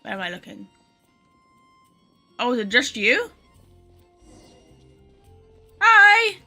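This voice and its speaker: A woman's voice: a little high, very animated, unhurried, bright, forceful tone, soft background music. A woman chatting casually as a live game streamer, informal and sweary, joking at her own expense. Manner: sweary, self-deprecating, informal. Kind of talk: casual live gameplay chat, reading some lines aloud. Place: bedroom.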